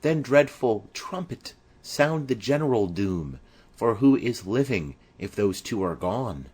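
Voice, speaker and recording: A man's voice: on the low side, big pitch swings, measured pace, a little mellow, husky, full character voice. A man voicing an impassioned, lovesick young noblewoman in declamatory Shakespearean verse for an audiobook. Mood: anguished. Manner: declamatory, poetic.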